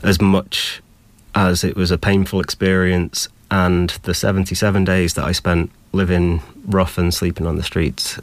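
A man's voice: sits low, little pitch movement, normal speed, no echo. A young man with a British accent giving an articulate, thoughtful personal account as an interview guest. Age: young adult. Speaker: interview guest sharing his experience.

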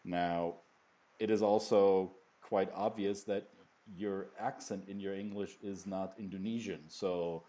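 Low, dark-voiced man hosting. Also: fairly even intonation, measured pace. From Germany. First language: German. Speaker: podcast host. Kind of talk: podcast introduction and interview.

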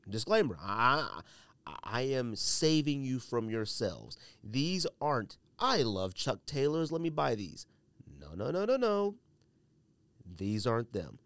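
A man's voice: mid-range voice, big pitch swings, measured pace, a bit bright, smooth, no room sound. A man hosting a casual podcast with blunt, emphatic, opinionated commentary, repeating himself for emphasis while a bit under the weather. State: under the weather, coughing and sneezing. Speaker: podcast host.

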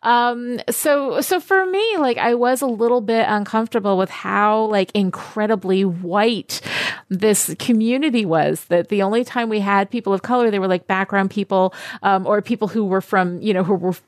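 A woman speaking as a guest on a film podcast, animated and emphatic, often restarting her sentences. Her voice sits in a middle pitch. The recording is close and dry, with no room sound. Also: moderate pace, no room sound. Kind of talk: casual podcast discussion.

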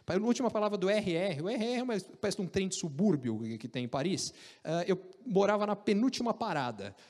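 A man with a Brazilian Portuguese accent telling an animated, joking story about his career, with frequent restarts. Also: high voice, fast talker, big-room sound. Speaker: speaker recounting career story. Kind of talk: casual storytelling talk.

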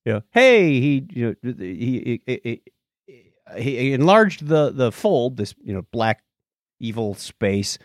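A man co-hosting a podcast in casual conversation, animated, often stammering and restarting his phrases. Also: medium pitch, a little slow, no echo, clean studio sound. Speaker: podcast co-host.

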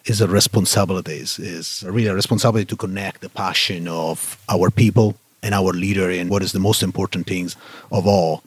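Italian-accented man, low voice. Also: slightly rough, dry recording. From Italy. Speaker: guest, safety director. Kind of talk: casual interview chat.

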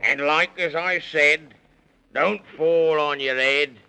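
A man playing a jaunty, gentlemanly companion in a 1930s children's radio serial. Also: fairly high pitch, slow, vocal fry, clear, resonant tone, exaggerated voice. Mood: cautioning. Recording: noisy vintage 1930s radio recording.

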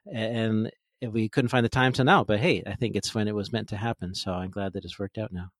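Man, podcast host, fairly low voice, easygoing, dry close sound. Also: fairly quick, dry recording. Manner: relaxed, friendly, with fillers like "you know". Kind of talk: casual interview.

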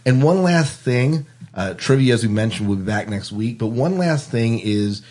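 A man's voice: mid-range voice, expressive, moderate pace, a little mellow. A man hosting a podcast in a casual, informal, chatty way. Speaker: podcast host.

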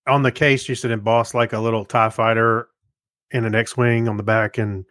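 Male co-host, medium-pitched, relaxed conversational delivery, dry studio-like sound. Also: moderate pace, no room sound. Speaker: podcast co-host. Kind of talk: casual chat.

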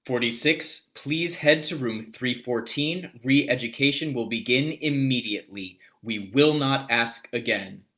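A man voicing a cold, bright official announcer. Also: medium pitch, measured pace, hardly any pauses. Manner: flat, official, reads stage directions. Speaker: narrator and announcer.

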